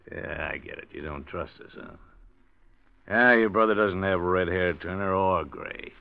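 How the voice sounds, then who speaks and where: An actor playing a cool, knowing male crook who bargains calmly, in a muffled old radio drama. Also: sits low, slow, vocal fry, pauses often, exaggerated voice. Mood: menacing. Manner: cool, knowing, mildly menacing. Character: smooth, low-voiced male crook. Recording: muffled 1940s radio recording.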